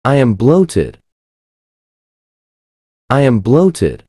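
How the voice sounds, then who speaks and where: Pronunciation model, very slow delivery, lively intonation, each phrase said twice. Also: mid-range voice, slightly rough, no echo, clean studio sound. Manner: says each short phrase twice, carefully.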